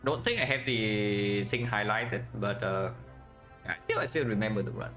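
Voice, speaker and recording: A man's voice: fairly low pitch, normal speed, fairly bright tone, faint music behind. Vietnamese-accented man streaming a card game. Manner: thinks aloud, tag questions "yeah?", chats with viewers. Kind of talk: casual live-stream commentary.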